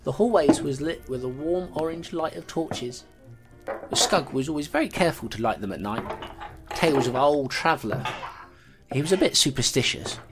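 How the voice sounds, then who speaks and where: A woman's voice: low-pitched, animated, normal speed, music in the background. A woman voicing a small clawed creature investigator, telling a grim story in clipped noir style for an audio drama. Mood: tense, regretful. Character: small clawed creature investigator, streetwise, grimly self-deprecating narrator.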